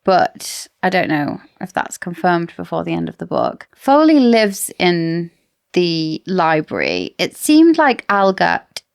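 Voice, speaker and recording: Low-pitched, slightly creaky woman chatting. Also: wildly swooping pitch, slow. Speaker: podcast co-host. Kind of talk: casual book discussion chat.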